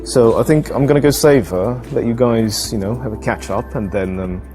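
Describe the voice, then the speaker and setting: A man's voice: medium pitch, normal speed, dark tone, close to an everyday voice. A man acting an earnest suitor in a dating game's live-action scene, with music behind him. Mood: friendly, hesitant. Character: earnest man chatting up a woman, then rejected. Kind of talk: acted dating-game cutscene dialogue.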